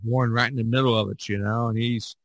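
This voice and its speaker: A middle-aged man chatting casually as a podcast host, rambling and halting as he searches for words. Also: medium pitch, steady tone, no room sound. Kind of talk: casual chat.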